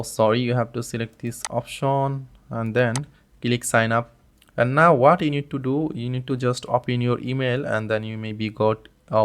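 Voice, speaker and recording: Bangladeshi man walking through a software setup. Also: medium pitch, clean tone. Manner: plain step-by-step explaining with frequent fillers and restarts, non-native phrasing. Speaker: tutorial host. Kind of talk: screen-recorded software tutorial.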